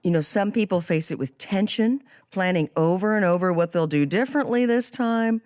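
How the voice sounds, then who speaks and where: A woman's voice: medium pitch, moderate pace, darkish tone, no echo, clean studio sound. A woman hosting a podcast interview, talking conversationally about a book and faith. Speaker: podcast host.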